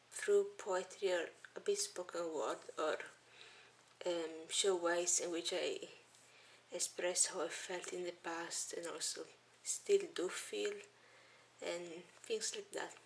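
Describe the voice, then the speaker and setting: Teen girl, Italian accent, hesitant webcam vlogger, lowish voice, faint room hiss. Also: poor audio. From Italy. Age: teens. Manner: hesitant, many ums, soft-spoken. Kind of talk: casual intro vlog to webcam. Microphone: webcam at arm's length.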